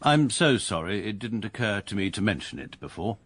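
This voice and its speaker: An actor with an English accent playing a stiff, formal barrister who narrates in a dry, deadpan way. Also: male voice, lowish voice, fairly quick, no echo. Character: stiff, pedantic upper-class male barrister. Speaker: lawyer narrator.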